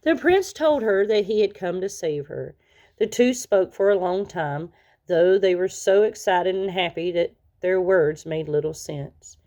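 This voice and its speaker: A woman narrates a children's storybook in a slightly low voice. She reads aloud expressively, putting weight on important words as a storyteller does. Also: a little slow, mellow. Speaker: storybook reader.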